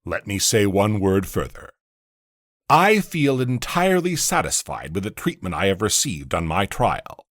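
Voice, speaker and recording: A man playing a solemn, defiant older condemned abolitionist, delivering a deliberate, emphatic courtroom speech in a husky voice. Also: fairly low pitch, very animated, a bit bright, no echo, studio quality, heavily acted. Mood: firm, resolute. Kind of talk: dramatic reading of a historical courtroom speech.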